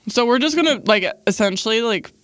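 A woman's voice: a little high, expressive, brisk, clean tone, dry recording. A young woman hosting a podcast, asking questions in a friendly, rambling way with lots of fillers. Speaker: podcast host. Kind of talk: casual interview.

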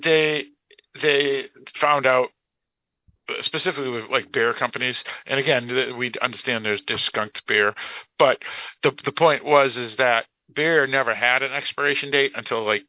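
A man's voice: medium pitch, fairly even intonation, moderate pace, dry recording. A man with a Boston accent co-hosting a casual podcast discussion, explaining things in a rambling, hedging way. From Boston area, United States. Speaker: podcast co-host. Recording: dull lo-fi podcast audio.

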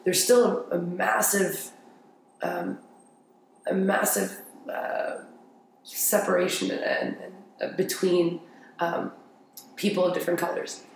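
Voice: mid-range voice, steady tone, takes it slowly, gravelly, pauses often. Young interview guest in a very echoey room. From grew up in Paris, France. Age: young adult. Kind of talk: casual conversational storytelling. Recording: very echoey room with faint noise.

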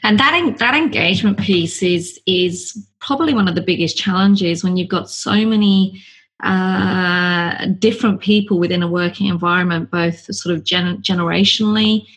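A woman's voice: fairly low pitch, normal speed, husky. A woman hosting a podcast interview, asking curious, friendly questions and sharing her own stories. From not Australian, lives in Australia. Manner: warm, curious questioning with light laughs. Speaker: podcast host.

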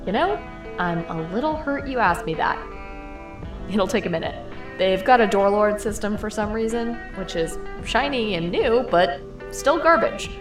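A woman's voice: medium pitch, animated, normal speed, natural voice. A woman playing a cocky, encouraging burglar in an audio drama, with a casual, playful, self-assured delivery. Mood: smug, playful. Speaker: voice actor in a fiction podcast. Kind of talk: naturalistic audio drama acting. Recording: roomy echo, music underneath.

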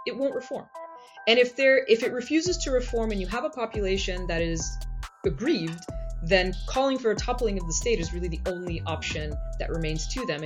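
A woman, a guest scholar, explaining history in a measured way and often checking in with "right?". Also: fairly low pitch. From United States. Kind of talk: explanatory interview talk.

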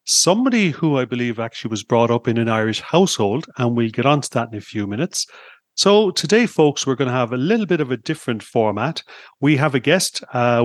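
A man hosts a podcast interview in an upbeat, chatty manner, his voice sitting at an ordinary middle pitch. The sound is dry and close, with no room echo. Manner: upbeat, chatty, with frequent fillers. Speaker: podcast host.

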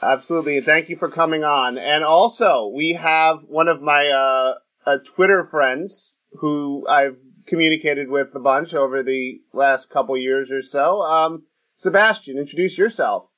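Hesitant podcast host, muffled audio. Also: male voice, on the high side, measured pace, pushed and bright. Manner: hesitant, many fillers and restarts. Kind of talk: casual podcast chat.

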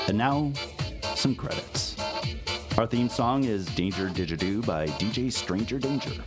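A man's voice: lowish voice, lively intonation, moderate pace. A man hosting an audio drama podcast, narrating scripted tongue-in-cheek credits. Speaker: guest host and producer. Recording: loud plucked guitar music behind.

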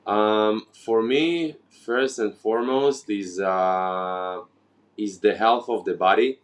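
Slow, hesitant low-voiced man. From Ukraine, raised in Israel. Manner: hesitant, many fillers and restarts. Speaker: guest. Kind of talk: casual interview conversation.

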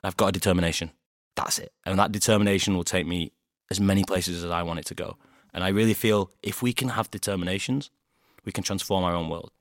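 A man from Bolton with a Bolton accent, a podcast guest telling stories in animated casual chat and acting out his own quotes. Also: low-pitched, fast, dry recording, studio quality. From Bolton, England.

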